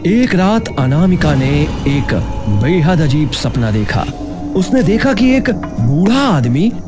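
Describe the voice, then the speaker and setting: Male narrator for a children's cartoon tale, very lively intonation, slow dramatic delivery. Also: on the high side. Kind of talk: dramatic scripted storytelling narration. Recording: music playing behind the voice. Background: clear background music.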